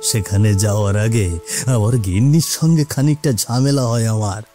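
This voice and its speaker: A man voicing a vengeful ghoul, a murdered village shopkeeper, in a grave, dramatic, halting cartoon delivery. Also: mid-range voice, mellow, natural voice. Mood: bitter, brooding. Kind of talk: Bengali animated moral-story acting. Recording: faint music behind.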